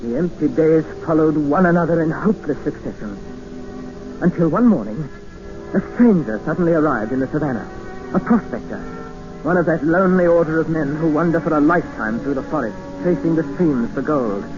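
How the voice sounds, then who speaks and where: An actor playing an educated English captive, narrating and speaking in an earnest, formal, dramatic 1950s radio style. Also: male voice, on the high side, lively intonation, a little fast, close to an everyday voice. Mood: grave, reflective. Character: desperate, educated male English captive expedition member, narrating. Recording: muffled old radio recording with music.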